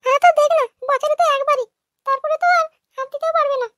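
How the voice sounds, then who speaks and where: Squeaky, cheeky young woman. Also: steady tone, fast, pushed and bright, no echo, studio quality. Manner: cheeky, brazen.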